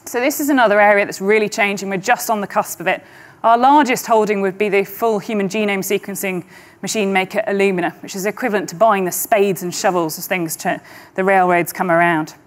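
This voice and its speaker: A middle-aged woman presenting on stage at a conference, speaking in a measured, confident and persuasive way. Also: mid-range voice, steady tone. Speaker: investment trust client director presenting. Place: large conference auditorium stage.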